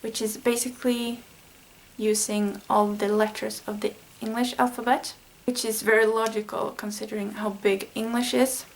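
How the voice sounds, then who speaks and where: A woman's voice: mid-range voice, level tone, moderate pace, creaky, a little hiss or hum, low-quality recording. A Swedish-speaking woman explaining language study in a casual, earnest vlog lesson, thinking aloud with small fillers. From Sweden. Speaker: language learning vlogger.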